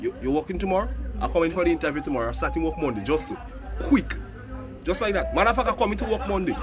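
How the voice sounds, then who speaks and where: A high-voiced Grenadian man, hoarse from a cold, chats on a phone live stream. He jokes, teases his viewers and slips into sung lines, with faint music in the background. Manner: joking, teasing viewers for money, bursts into sung lines. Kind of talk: casual live-stream chat.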